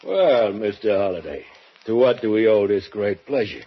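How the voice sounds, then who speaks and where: A sardonic hotel clerk with a husky, lowish voice who needles every guest with sly mockery. The old broadcast sound is dull and muffled. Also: male voice, animated, moderate pace. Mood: mocking. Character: sly sarcastic male desk clerk of a cheap hotel.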